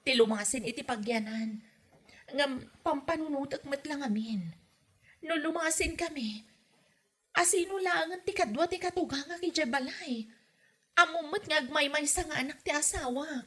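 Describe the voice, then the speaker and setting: A woman's voice: high voice, moderate pace, fairly bright tone. A woman playing an aggrieved young wife, plaintively complaining about her mother-in-law in a comedy drama. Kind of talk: comedy radio drama acting.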